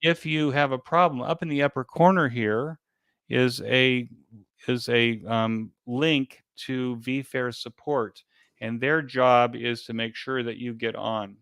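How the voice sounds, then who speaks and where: A man being interviewed as an event organizer, giving an informal step-by-step explanation in a halting, repetitive way. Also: medium pitch, fairly even intonation, fairly bright tone, clear, resonant tone, no room sound.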